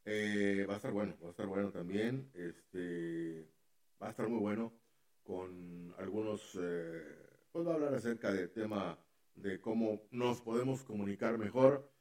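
Rambling radio host, low voice. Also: male voice, a little slow, a little mellow. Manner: rambling, many fillers and restarts. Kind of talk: casual radio chat.

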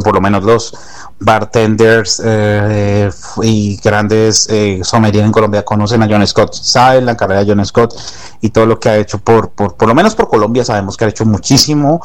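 A man hosting a casual interview, chatty and teasing with his guest. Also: fairly low pitch. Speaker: podcast host.

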